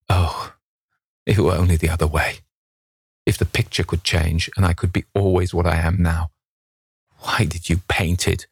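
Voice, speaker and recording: A man's voice: sits low, breathy edge, dry recording, studio quality. A man voicing a vain, petulant young man in an impassioned, dramatic reading of a novel. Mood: jealous anguish. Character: petulant, anguished young man, vain about his beauty.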